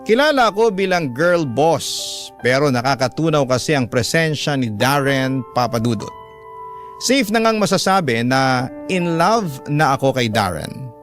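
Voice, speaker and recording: A man hosting a radio drama, reading a love story as scripted, sentimental narration in measured phrases. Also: mid-range voice, big pitch swings, fairly bright tone. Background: guitar music.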